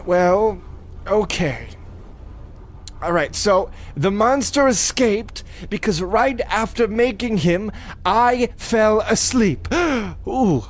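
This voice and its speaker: Radio comedy, mad scientist male actor: young, very high voice, nervous, digressive, melodramatic. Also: lively intonation, takes it slowly. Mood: rambling, defensive. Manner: flustered, evasive, dramatic, rambling. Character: nervous, rambling young mad scientist who made a monster. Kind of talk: parody radio play acting.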